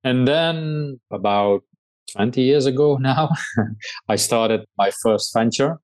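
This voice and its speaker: A middle-aged man from the Netherlands with a Dutch accent, a startup founder answering interview questions in a measured way with frequent hesitations. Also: medium pitch, a little mellow.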